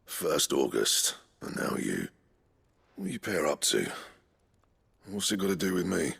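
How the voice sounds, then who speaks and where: Very deep, gravelly gruff old blacksmith. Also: male voice, sing-song, unhurried, a bit bright, heavily acted. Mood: irritated, dismissive. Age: older. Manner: blunt, grumbling, curt. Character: gruff, proud old male blacksmith, sullen and foul-mouthed. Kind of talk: video game voice acting.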